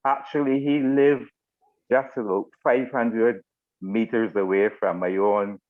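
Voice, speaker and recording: An older man with a Barbadian accent gives informal thanks on a group call, speaking in formal, deliberate phrases. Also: mid-range voice, flat delivery. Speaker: retired military officer giving closing remarks. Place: online video meeting. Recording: narrow phone-like video call audio.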